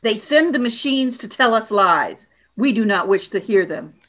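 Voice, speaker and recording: A woman's voice: mid-range voice, lively intonation, moderate pace, fairly bright tone. Woman playing an imperious alien warrior commander, cold and stern. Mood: curt, haughty. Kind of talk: radio play acting.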